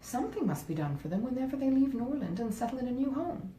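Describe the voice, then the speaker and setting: Woman reading as a grave, mellow gentleman husband. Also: very animated, fast. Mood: earnest. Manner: earnest, pompous, deliberating. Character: grave, well-meaning but easily swayed gentleman husband. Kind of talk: acted dialogue in a classic novel reading. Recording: roomy echo, faint rumbling noise.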